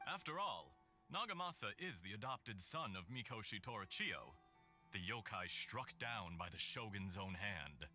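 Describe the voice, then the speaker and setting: An actor playing a suave, mocking villain disguised as a mechanic in a video game, theatrical and falsely polite. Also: male voice, fairly low pitch, very animated, unhurried, bright, forceful tone, soft background music, full character voice. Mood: smug. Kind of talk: theatrical English game dub dialogue.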